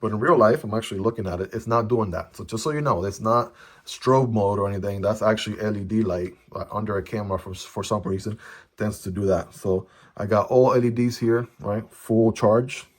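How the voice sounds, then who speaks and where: Chatty low-voiced man reviewing gear. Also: brisk. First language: Chinese. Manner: chatty, frequent "right?" tag questions. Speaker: product reviewer. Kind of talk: casual unboxing and review. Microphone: phone camera.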